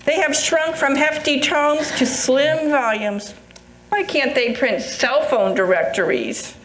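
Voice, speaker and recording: A woman's voice: high-pitched, slow pace, a bit bright. An older woman playing a droll, nostalgic older friend with a musing manner in an amateur readers theatre performance. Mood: wry, nostalgic. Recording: roomy echo with faint noise.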